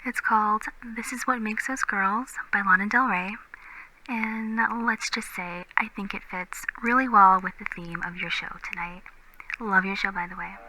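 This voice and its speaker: Female call-in listener, very bright sharp tone, medium pitch, soft casual delivery, faint background noise. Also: normal speed, rough recording. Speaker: radio show caller.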